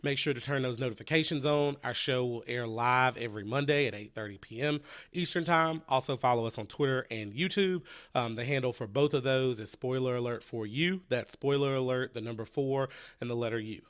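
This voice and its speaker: A man's voice: mid-range voice, fairly quick, few pauses, a bit bright. A man hosting a casual podcast chat, upbeat and rambling, sounding stuffy from a cold. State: congested with a cold. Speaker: podcast host.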